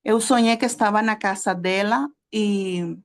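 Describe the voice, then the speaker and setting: A woman with a Spanish accent, a native Spanish speaker, teaching a sermon to a small group, halting often with fillers and checking that her listeners follow. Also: medium pitch. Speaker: preacher and theology teacher.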